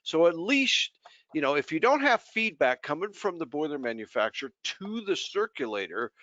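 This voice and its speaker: A man's voice: a little high, big pitch swings, moderate pace, bright, forceful tone, dry recording. A man giving casual technical advice as a co-presenter in a live chat, explaining things in informal, folksy phrasing. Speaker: technical co-presenter, trainer for a pump maker. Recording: dull lo-fi online call audio.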